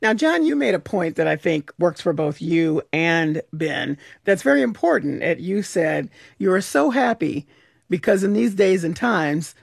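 A woman radio host with a deeply gravelly, husky voice, asking her guests questions in an easy conversational way. The studio sound is dry and close, with no room around her. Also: big pitch swings, measured pace, dry recording. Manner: conversational, warmly probing questions with asides. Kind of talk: public radio interview.

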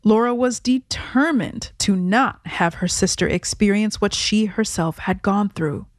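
A woman host giving measured, scripted documentary narration. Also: medium pitch, no room sound.

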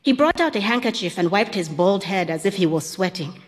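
A woman reading a first-person literary story aloud in a calm, measured way. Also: mid-range voice. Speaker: audiobook narrator. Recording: long reverb on the voice.

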